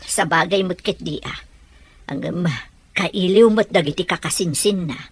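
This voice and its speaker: A woman playing a concerned middle-aged mother in a radio drama, fretful and expressive about her child. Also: on the low side, normal speed, husky, no echo. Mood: wistful. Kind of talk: Ilocano radio drama acting.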